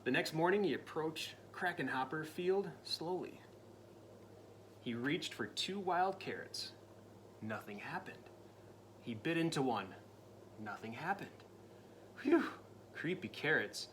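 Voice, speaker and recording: A man reading a spooky picture book aloud to a child, his voice swooping wide and lively and a bright, forceful edge to it. He is playful and dramatic, sliding into hushed whispers for the creepy bits, and a faint hiss of noise sits under him. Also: fairly high pitch, a little slow, pauses often. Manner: dramatic, drops to spooky whispers and jumps to loud cries; playful asides to the listening child. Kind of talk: storybook read-aloud.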